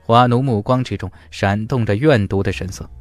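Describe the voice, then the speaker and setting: A man's voice: on the low side, normal speed, dry recording, soft background music. A man narrating a fantasy audiobook from a script in a dramatic, emphatic storytelling style.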